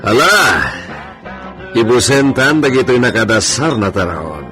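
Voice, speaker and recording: A man with a Filipino accent playing a suave, flirtatious señor in a comedy radio drama, theatrical and drawn-out, sprinkling in Spanish words. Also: mid-range voice, very animated. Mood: hearty, coaxing. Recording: music bed under the dialogue.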